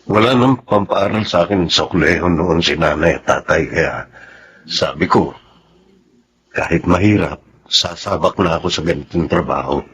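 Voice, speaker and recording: Really husky deep filipino soldier guy, remorseful and heavy hearted, radio drama. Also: moderate pace, a bit bright, exaggerated voice. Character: troubled, remorseful soldier and husband.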